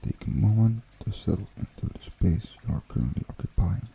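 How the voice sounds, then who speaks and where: A man hosting an ASMR guided meditation in a hushed, unhurried, soothing way with gentle pauses. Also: fairly low pitch, lively intonation, gravelly, slight background noise. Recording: water trickling behind the voice. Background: pond water bubbling and trickling.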